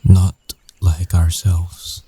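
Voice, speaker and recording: A man playing a guarded, earnest werewolf ex-boyfriend in an intimate ASMR roleplay, speaking haltingly with long pauses. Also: deep voice, completely flat delivery, warm tone, breathy edge, full character voice. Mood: weary, shaken.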